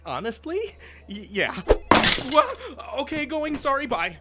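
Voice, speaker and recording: An actor playing a nervous, stammering office aide. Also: male voice, very high voice, wildly swooping pitch, measured pace, heavily acted. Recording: very noisy recording.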